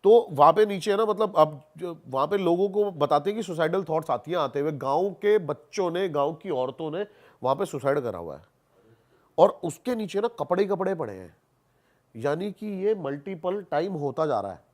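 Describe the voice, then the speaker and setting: Higher-voiced man telling stories. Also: lively intonation, moderate pace. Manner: colloquial, repetitive for emphasis, peppered with English words. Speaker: podcast guest, haunted-place investigator. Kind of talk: animated casual storytelling on a podcast.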